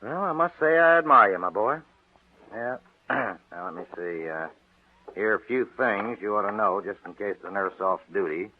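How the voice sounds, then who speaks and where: A man's voice: lowish voice, expressive, moderate pace, lightly acted. An actor playing a worried, fatherly family doctor who frets and warns in a 1940s radio drama. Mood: fretful. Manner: fretful and fatherly. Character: fussy, worried middle-aged family doctor. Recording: tinny old radio broadcast.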